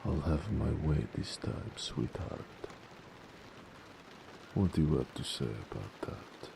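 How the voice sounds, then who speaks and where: Orc blacksmith lover, played by a man, gravelly and slow, earnest, tender, possessive; romantic audio roleplay over faint rain-like noise. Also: lively intonation, full character voice. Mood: playful, firm. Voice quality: deep, gravelly.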